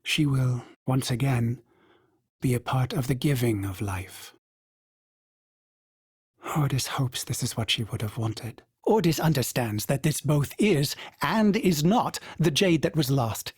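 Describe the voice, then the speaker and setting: A man's voice: unhurried, slightly rough, no echo, clean studio sound. A man voicing a devoted, fussy male ship AI who speaks formally and calls himself by name in the third person. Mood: earnest. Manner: sudden jumps in pitch and speed, fussy and excitable. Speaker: game character voice. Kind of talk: video game voice lines.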